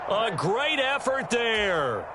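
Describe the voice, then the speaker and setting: A man's voice: very high voice, sing-song, slow pace, heavy background noise, full character voice. A man acting as an American play-by-play football announcer in a video game, calling plays in clipped, punchy phrases. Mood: brisk, engaged. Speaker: play-by-play sports commentator.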